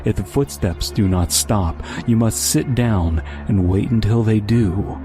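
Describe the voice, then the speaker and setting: A man reading a scripted horror story in a measured, solemn, deliberate way. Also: lowish voice. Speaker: story narrator. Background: loud music.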